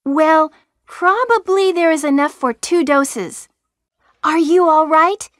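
A woman's voice: high voice, slow, no room sound, clean studio sound. An actress playing an eager young female medic in a stilted nineties video game. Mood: earnest, concerned.

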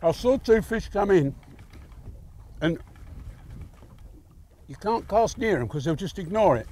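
A man's voice: sits high, expressive, measured pace, a little mellow. An older man with an English accent hosting a fishing show, chatting casually to camera from a boat out at sea. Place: outdoors on a small boat at sea.

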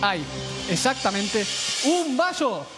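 A young man in his twenties with a Valencian Spanish accent, speaking in a very high voice as he walks judges through his act. His patter is confident and smooth, and clear music plays behind him on a broadcast stage recording. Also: big pitch swings, slow. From Spain. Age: 20s. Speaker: talent show contestant. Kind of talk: stage patter while performing mentalism. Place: large television studio stage. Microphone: headset mic.

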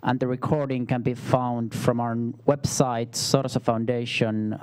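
A man with a Finnish accent addresses a seminar audience from a podium. He moderates in an informal style, with a medium-pitched voice. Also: level tone, a little slow, a little mellow, slight background noise. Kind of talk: informal closing remarks to an audience. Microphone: podium microphone.

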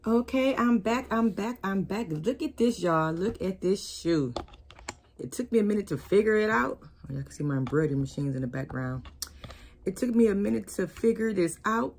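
A woman's voice: mid-range voice, darkish tone, slight background noise. A woman, chatting casually to viewers as she demonstrates shoe decorating in her craft studio. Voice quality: slightly raspy. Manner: chatty, talks to viewers as y'all. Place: craft studio with sewing and embroidery machines. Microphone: camera over worktable.

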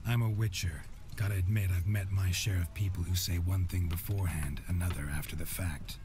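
A man voicing a gruff, weary monster hunter in a fantasy game, with a gravelly voice and terse deadpan delivery. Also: sits low, a little fast, lightly acted. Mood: dry. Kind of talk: video game voice acting.

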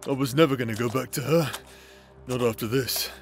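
A man's voice: mid-range voice, fairly quick, gravelly, faint music behind, lightly acted. A man acting a cursed warrior in a fantasy audio drama, solemn and wry, sworn to resist a demon. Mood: wry, defiant.

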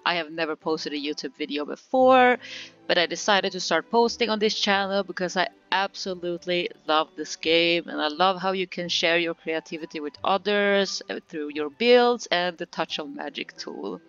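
A woman with a Swedish accent talks through a game tutorial in a chatty, giggly way. Her voice is bright and smooth. The recording is dry and a little muffled. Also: fairly low pitch, moderate pace, soft background music. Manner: chatty and giggly, with frequent fillers. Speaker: gaming tutorial creator. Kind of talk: casual game tutorial voice-over.

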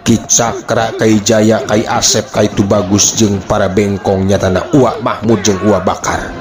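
A man's voice: on the low side, flat delivery, measured pace, music in the background. A man with a Sundanese accent narrating a folk tale as a dramatic storyteller.

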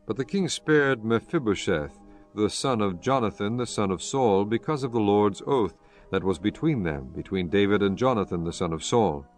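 A man narrating scripture from a script in a solemn, measured, formal manner. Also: fairly low pitch, lively intonation, faint music behind.

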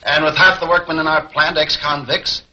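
An older man playing a cold, scheming syndicate boss in a 1940s film serial, brisk and commanding. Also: fairly high pitch, flat delivery, pushed and bright. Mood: cold, businesslike. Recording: dull, hissy 1940s film soundtrack.

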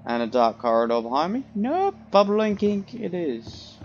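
A man doing let's play commentary in a put-on British accent, jokey, profane and loud. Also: high-pitched, very animated, moderate pace, dull audio, slight background noise. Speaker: gamer.

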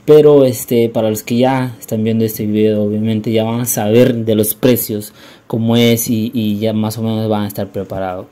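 A man's voice: on the low side, fairly even intonation, normal speed, dark tone. A young man narrating a casual travel vlog in a rambling, hesitant way, often repeating words. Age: young adult. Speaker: vlogger.

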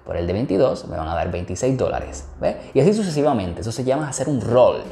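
A man's voice: medium pitch, big pitch swings, fast, vocal fry, warm tone, slight background noise. A man with a Puerto Rican Spanish accent teaching a tutorial on investing, explaining step by step and often checking in with "ok?". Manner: explanatory, repeats "¿ok?" to check understanding. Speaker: investing educator. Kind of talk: screen-recorded tutorial explaining options. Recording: echoey room with faint music.

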